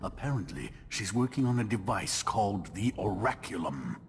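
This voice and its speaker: An actor playing a weary, battered old male genius inventor in a video game, speaking gravely and haltingly. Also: fairly low pitch, animated, long reverb tail, slight background noise. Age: older. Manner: slow, halting, grave storytelling.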